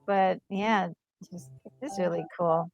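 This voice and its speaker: A woman's voice: lowish voice, steady tone, slow pace, darkish tone. A woman co-hosting a gaming video, chatting casually and acting out reactions as she tells the story. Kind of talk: casual gaming commentary and chat. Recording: music playing behind the voice. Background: music.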